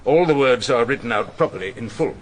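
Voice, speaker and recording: An actor playing a wily, irascible older English defence barrister in a radio drama, theatrical, probing and dryly ironic. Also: male voice, mid-range voice, expressive, a little fast, close to an everyday voice. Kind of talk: radio drama courtroom acting and narration.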